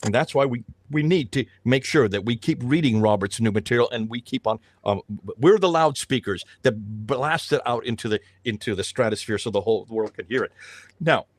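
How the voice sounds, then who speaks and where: A middle-aged man talks on a panel with a lively, rising and falling pitch. He repeats phrases for emphasis and often restarts. His voice is close and dry. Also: fairly quick, no room sound. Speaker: host of a panel discussion, street preacher. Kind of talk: animated casual panel talk.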